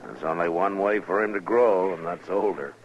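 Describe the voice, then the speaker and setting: A steady western lawman with a very low American voice. He speaks in a dry, measured, laconic way, on a slightly hissy, dull vintage radio recording. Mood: wry. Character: weary, steady, authoritative frontier US marshal. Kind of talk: 1950s radio western acting.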